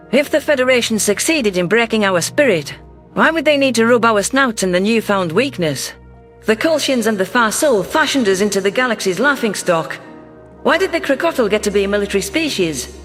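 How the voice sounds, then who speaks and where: A man's voice: high-pitched, a bit bright. A man reading a scripted first-person story as its narrator, in an audio drama. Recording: music bed under voice.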